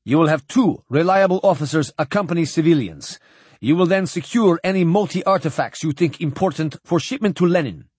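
Guy doing a cold strict admiral for an audiobook, formal n clipped, pitched up, real dry sound. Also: fairly quick, husky, no echo. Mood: cold, commanding. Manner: flat, formal, clipped diction. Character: stern, cold, commanding male admiral, formal and unyielding. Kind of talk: audiobook character dialogue.

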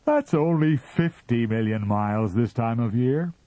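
A man's voice: mid-range voice, animated, measured pace, dark tone, no echo, voice pushed up, natural voice. A man reading aloud and voicing a fussy, self-important male Martian official who lectures and sneers. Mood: dismissive. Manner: peevish, lecturing, sarcastic.

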